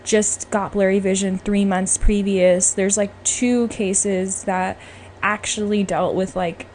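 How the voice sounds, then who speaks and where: A woman speaks over noticeable background noise in a mid-pitched voice. She hosts a podcast, giving her opinions in a casual, chatty way. Her delivery is informal and emphatic. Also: fairly even intonation, takes it slowly, darkish tone. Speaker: podcast host. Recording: clearly noisy background.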